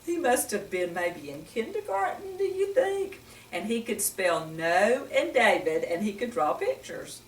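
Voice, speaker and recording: An older woman hosts a children's storytime with an unhurried, very expressive delivery. She speaks like a gentle teacher, often putting questions to her young listeners. The sound is echoey and picked up from some distance. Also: medium pitch, a little hiss or hum. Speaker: children's story reader and host. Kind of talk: storytime talk to young children with Bible lesson. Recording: roomy echo, distant microphone.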